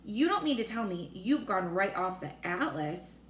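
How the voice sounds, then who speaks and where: A woman's voice: medium pitch, very animated, normal speed, close to an everyday voice. A woman voicing a blustering, cowardly male army chief in a storybook, given to urgent exclamations. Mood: alarmed. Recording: roomy echo with faint noise.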